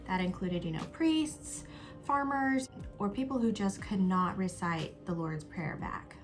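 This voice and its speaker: A young woman hosting a casual vlog, chatting in an upbeat way while doing her makeup, sometimes dropping to a soft whisper. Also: fairly low pitch. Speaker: YouTube host.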